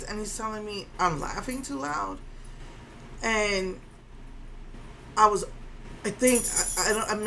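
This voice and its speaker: A woman's voice: mid-range voice, a bit bright, slight background noise, rough recording. Indignant woman with a strong voice. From Puerto Rico. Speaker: vlogger. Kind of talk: casual storytelling rant.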